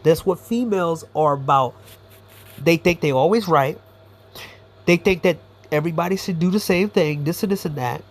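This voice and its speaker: A man's voice: fairly high pitch, moderate pace. A man giving a blunt, profane, rambling commentary monologue as a YouTuber.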